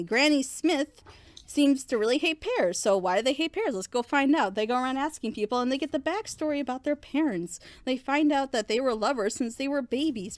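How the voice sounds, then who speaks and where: A woman's voice: sits high, dry recording. A woman reviewer speaking in a casual, opinionated way, with emphatic, rambling explanations and rhetorical questions. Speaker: reviewer on a reaction show.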